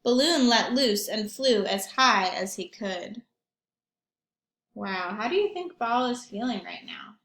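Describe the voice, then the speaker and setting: A woman reading a storybook aloud to young children like a teacher, expressively, pausing for simple questions and comments. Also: fairly high pitch, lively intonation, fairly bright tone. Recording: roomy echo.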